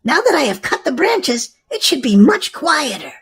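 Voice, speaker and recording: A woman's voice: high voice, big pitch swings, moderate pace. A woman voicing a concerned, kindly grandfather who explains things reassuringly in a children's storybook. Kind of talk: children's storybook read-aloud.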